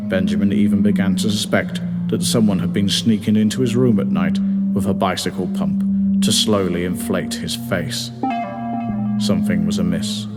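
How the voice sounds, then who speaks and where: A man's voice: sits low, steady tone, moderate pace. A man reading his own comic story as narrator in a theatrical, mock-dramatic way. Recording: long reverb, music behind.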